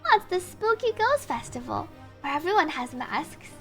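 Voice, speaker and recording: A woman's voice: extremely high pitch, big pitch swings, moderate pace. A woman livestreaming a video game, chatting casually with viewers and reading on-screen text aloud over game music.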